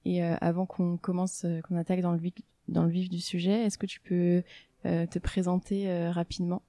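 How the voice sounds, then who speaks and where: A woman hosting a podcast, reading a lively intro and then chatting casually with her guest. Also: lowish voice, normal speed, no room sound, studio quality. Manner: upbeat, chatty, uses fillers. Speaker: podcast host.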